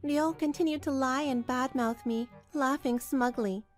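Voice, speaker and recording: A woman narrates a scripted manga drama in the first person. Her voice is high-pitched and expressive, telling the story over background music. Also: a little slow. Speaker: narrator and voice actor.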